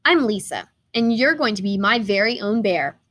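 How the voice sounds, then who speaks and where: A woman's voice: mid-range voice, animated, moderate pace, a bit bright. A woman voicing an eager, affectionate little girl in a storybook, earnest and polite. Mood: happy, determined.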